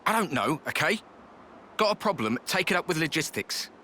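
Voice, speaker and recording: A grumbling British foot soldier. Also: male voice, on the high side, big pitch swings, normal speed, pushed and bright, a little hiss or hum. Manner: colloquial, grousing. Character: grumbling, low-ranking male soldier, nostalgic and deferential. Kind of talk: scripted video game voice acting.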